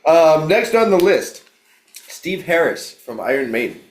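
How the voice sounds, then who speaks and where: A man hosting a podcast interview, speaking thoughtfully and giving quick affirmations. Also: fairly high pitch, very animated, reverberant, rough recording. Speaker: podcast host. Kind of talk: casual interview.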